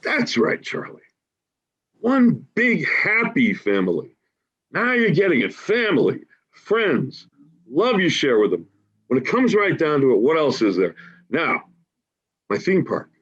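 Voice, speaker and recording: Through slightly dull, lo-fi call audio comes a man acting a big-hearted, folksy homeless dreamer. His husky voice swings up and down with coaxing enthusiasm, as in a stage play reading. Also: on the high side, huge pitch swings, a little slow, long pauses, a bit bright, heavily acted. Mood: earnest, coaxing. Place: remote reading over video call.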